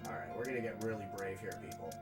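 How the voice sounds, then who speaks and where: A man with a raspy, nasal New York accent, chatting casually as a game streamer while he plays. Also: lowish voice, quick pace, over loud music. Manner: rambling, chatty, full of fillers. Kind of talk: casual gameplay commentary.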